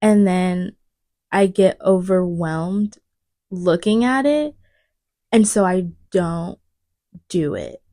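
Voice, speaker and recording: A woman's voice: fairly low pitch, slow pace, pauses often, dark tone, no echo, clean studio sound. A young woman co-hosting a podcast in casual chat, searching for words and trailing off, with a lingering cough. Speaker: podcast co-host.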